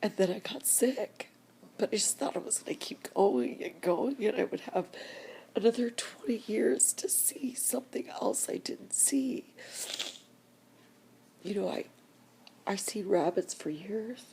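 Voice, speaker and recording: Whispering older woman, tearful and slow. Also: mid-range voice, creaky, mellow. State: crying. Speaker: vlogger. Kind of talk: tearful personal vlog.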